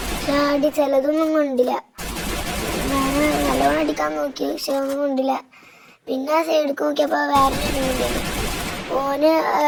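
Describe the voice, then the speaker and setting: A gamer with a northern Kerala Malabar accent gives casual, halting commentary, recounting gameplay moves step by step. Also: sits high, gravelly, noisy background. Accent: northern Kerala Malabar Malayalam.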